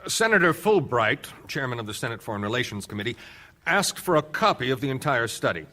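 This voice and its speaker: Government witness, average pitch, precise, guarded, officious. Courtroom radio play. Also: male voice, sing-song, fairly quick, sharp tone. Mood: formal, guarded. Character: formal, guarded male defense official on witness stand.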